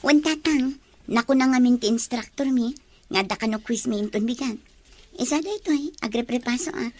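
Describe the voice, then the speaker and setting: A woman's voice: on the high side, a little fast, darkish tone, close to an everyday voice. A woman with a Filipino accent acting a sincere female college student in a radio drama.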